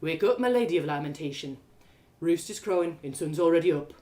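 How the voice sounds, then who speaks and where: Older brother female narrator acting: rustic young man, pitched down, smug, needling, playful. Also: animated, fairly quick, dark tone. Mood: teasing. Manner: mocking, rustic phrasing. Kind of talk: storybook character voice.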